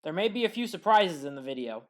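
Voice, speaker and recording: A woman narrating baseball highlights as a voice-over, recapping the plays briskly and adding her own opinions as a fan. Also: very animated. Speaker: sports highlights commentator. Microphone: voice-over recorded separately.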